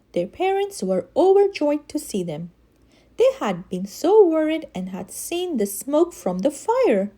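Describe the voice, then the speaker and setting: A woman reading a children's story aloud in an expressive way, with animated, dramatic emphasis. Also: high voice. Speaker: children's story reader and channel host.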